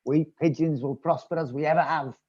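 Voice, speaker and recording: Man playing a pompous, echoey old gentleman spook. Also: a little high, fairly even intonation, a little fast, lightly acted. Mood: genteel, proud. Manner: pompous, old-fashioned, genteel phrasing. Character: courtly, pompous old male ghost, later a gentlemanly pigeon. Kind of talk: comedy audio drama, mock podcast interview. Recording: muffled, echoey online call recording.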